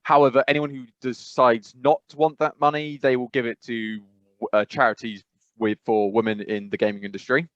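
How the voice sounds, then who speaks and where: Man, podcast co-host, from England, rambling, hesitant, mid pitch, dull dry lo-fi sound. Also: fairly even intonation, normal speed, no echo. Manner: rambling, hesitant, many fillers and restarts, laughs while talking. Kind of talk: casual podcast chat.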